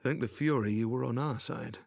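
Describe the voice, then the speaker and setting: A man's voice: mid-range voice, a little fast, vocal fry, a little mellow, no room sound, clean studio sound. A man playing a valiant, courteous and loyal knight in a fantasy game, with formal, emphatic speech. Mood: hearty admiration. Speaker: voice actor in a fantasy video game. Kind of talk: cinematic game cutscene acting.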